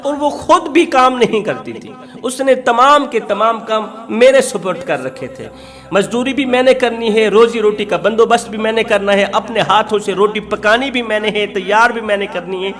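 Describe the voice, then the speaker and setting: A man's voice: high-pitched, a bit bright. A man delivering an emotional Islamic sermon, telling a moral story in an impassioned, exhorting way with emphatic repeated phrases. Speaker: Islamic preacher.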